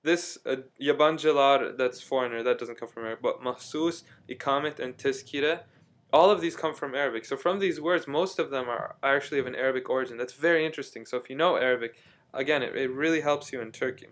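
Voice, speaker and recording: A man's voice: mid-range voice, fast talker, fairly bright tone. A man talking casually in a video diary, rambling through a story with lots of ums and restarts. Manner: rambling, chatty, many fillers and restarts. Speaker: vlogger. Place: living in Istanbul.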